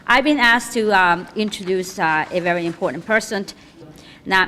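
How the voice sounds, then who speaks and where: A woman's voice: medium pitch, fairly bright tone, big-room sound. A woman introducing an honoree at a ceremony, emphatic and asking rhetorical questions, with slightly off grammar.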